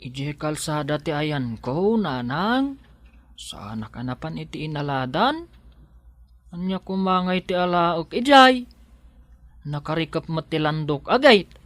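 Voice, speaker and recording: A playful young Ilocano woman in a radio drama. Her voice is a little low and very lively in pitch, and she takes her time with each bantering line. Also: measured pace, no echo. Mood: earnest.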